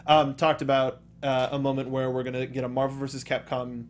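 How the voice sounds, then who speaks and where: A man's voice: medium pitch, completely flat delivery, a little fast. A man hosting a casual panel chat about video games, often circling back and repeating his phrases. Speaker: talk show host.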